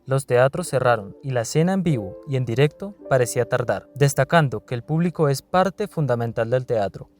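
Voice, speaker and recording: A man reads documentary narration in a mid-range voice. Soft music plays behind his scripted delivery. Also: mid-range voice, expressive, a little fast, hardly any pauses.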